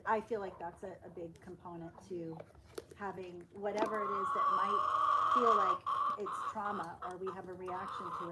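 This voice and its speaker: Earnest, halting woman over loud background noise. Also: medium pitch. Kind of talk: casual personal sharing. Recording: noisy live stream, clicks, roosters.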